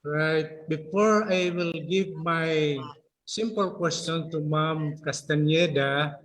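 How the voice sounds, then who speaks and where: A Filipino-accented man speaks formally and politely as a participant. His voice is somewhat high for a man, and he takes his time, repeating words. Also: takes it slowly, a bit bright, low-quality recording. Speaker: teacher, participant. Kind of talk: formal remarks from the floor.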